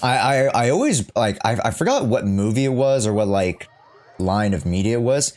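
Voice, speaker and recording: A man's voice: mid-range voice, very animated, normal speed, darkish tone. A man doing casual live-stream commentary on a game, rambling and reading the dialogue aloud as he goes. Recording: game music behind the voice. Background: game music and dialogue.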